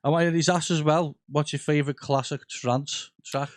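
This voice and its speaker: A man's voice: fairly high pitch, normal speed, dry recording. Man, laid-back sweary podcast host, slightly raised voice, dry close mic. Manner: relaxed, sweary, reads out viewer questions. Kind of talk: casual chat.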